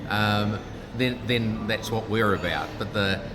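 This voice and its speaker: A middle-aged man with a New Zealand accent, a business founder making an upbeat, punchy pitch in a casual interview. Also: a little slow, noisy background. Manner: upbeat, punchy salesman delivery. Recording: background music, trade fair.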